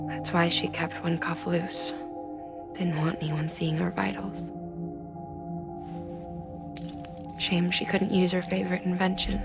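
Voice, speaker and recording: An actress playing a grieving woman engineer in a dramatic game cutscene, speaking in a measured, hushed, halting way. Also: fairly low pitch, vocal fry. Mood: quiet grief. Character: grieving female engineer reunited with her twin sister.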